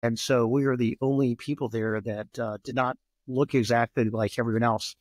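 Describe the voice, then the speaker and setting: A man in his sixties speaks as a podcast guest, telling his life story at a steady, unhurried pace and stopping often to find his words. His voice sits at an ordinary middle pitch. The recording is dry and close, with hardly any room sound. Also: dry recording. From Japan. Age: 60s. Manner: measured, many "uh" pauses. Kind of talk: relaxed podcast interview.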